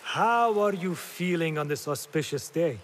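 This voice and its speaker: Kindly proud teacher in a game, formal, on the high side, bit of background noise. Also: male voice, lively intonation, unhurried. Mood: proud encouragement. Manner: formal, encouraging.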